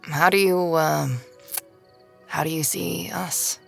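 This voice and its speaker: An actress in an audio drama playing a self-conscious, foul-mouthed nerdy woman, talking in a halting, blunt, natural way. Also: low-pitched, steady tone, vocal fry, a bit bright, faint music behind, heavily acted. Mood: tender, awkward. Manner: halting, blunt, casually profane.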